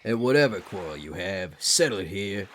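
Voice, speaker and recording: A man's voice: sits low, expressive, normal speed, a little hiss or hum. A man voicing a terse, surly riverboat captain with a curt, dry delivery in a fan dub. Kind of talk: fan-dub character acting.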